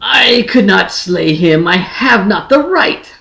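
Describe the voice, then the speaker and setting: Guy doing a gloomy ironic young emperor, fantasy audiobook, slow n formal, bit gravelly. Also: high voice, expressive, bright, forceful tone, exaggerated voice. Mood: brooding. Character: brooding, melancholy young emperor, ironic and fatalistic. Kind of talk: dramatic fantasy audiobook character reading.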